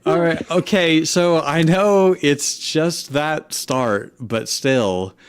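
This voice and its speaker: A man's voice: fairly high pitch, slow pace, clear, resonant tone. A man co-hosting a fanfiction comedy show, mixing casual chat with reading aloud.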